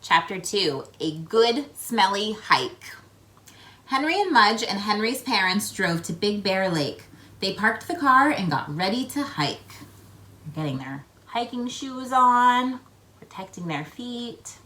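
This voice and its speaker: A woman reading a picture book aloud to children, with expressive emphasis and chatty asides addressed to the listeners. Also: medium pitch, slow pace. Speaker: read-aloud host for children.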